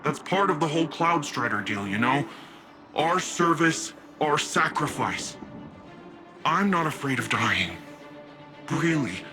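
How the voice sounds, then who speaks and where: An actor voicing a brash young warrior who talks in an earnest, halting, heartfelt way in video game dialogue. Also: male voice, mid-range voice. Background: game score music.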